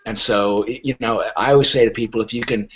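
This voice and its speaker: A man's voice: lowish voice, fairly even intonation, fast, a bit bright, breathy edge, highs cut off. A man in conversational explaining mode as a podcast guest, emphatic, often repeating words as he starts and posing rhetorical questions. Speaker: literary agent, guest.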